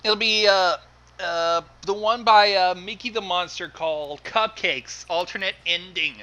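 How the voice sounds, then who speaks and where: A man's voice: high-pitched, a little slow, bright, forceful tone, lo-fi sound, a little hiss or hum. A man bantering on a casual livestream as co-host, melodramatic and exasperated in his complaints.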